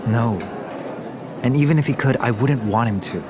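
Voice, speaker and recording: An actor playing an earnest, reassuring young boyfriend, playful and awkwardly romantic, in a naturalistic audio drama. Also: male voice, mid-range voice, moderate pace, background noise, lightly acted. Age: young adult.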